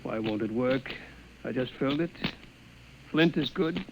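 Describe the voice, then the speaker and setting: A man's voice: medium pitch, a little slow, natural voice. An actor playing a placating, patronizing male asylum doctor in a 1950s radio drama. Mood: muttering, irritated. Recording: noisy old radio recording.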